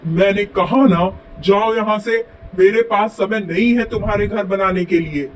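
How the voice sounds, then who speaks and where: A man playing a grumpy, dismissive repairman in an animated fable, with a brusque manner. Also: high-pitched, unhurried, a little hiss or hum, poor audio.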